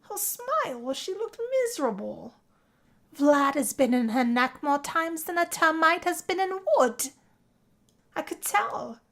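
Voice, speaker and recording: Casting: sardonic vampire sister played by a woman, blunt but loyal, raised pitch, for game-based story drama. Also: very animated, measured pace, creaky, a bit bright, heavily acted. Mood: scornful. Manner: wry, blunt. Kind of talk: scripted machinima drama.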